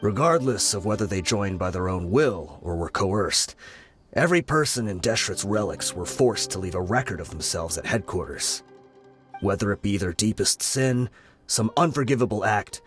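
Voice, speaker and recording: An actor playing a weary older male gang member in a game, explaining calmly, with faint music behind. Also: on the low side, close to an everyday voice. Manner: calm, explanatory.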